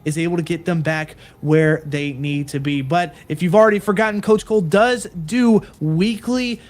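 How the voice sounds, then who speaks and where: A man's voice: fairly high pitch, moderate pace. A man casting a live esports stream, talking in earnest, repetitive hype with some fillers. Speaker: esports caster.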